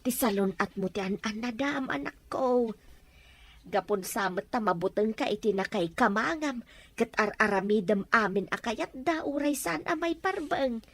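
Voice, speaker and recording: Woman playing a soft-spoken, worried older mother. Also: fairly high pitch, animated, moderate pace. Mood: tender, worried. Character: gentle, worried mother fussing over her grown daughter. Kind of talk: Ilocano audio drama acting.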